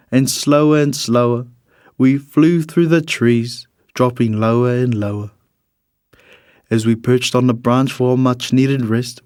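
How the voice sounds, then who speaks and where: A man reads a rhyming children's storybook in an expressive, measured storytelling manner. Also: medium pitch, dry recording, studio quality. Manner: expressive, measured storytelling in the character of a bird narrator. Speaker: storybook reader.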